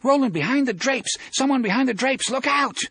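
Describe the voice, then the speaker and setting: Man playing a high-pitched, frightened young boy. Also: animated, fairly quick, vocal fry, a bit bright, slightly rough, no room sound, heavily acted. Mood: alarmed. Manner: urgent, crying out in warning. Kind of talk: audiobook character voice.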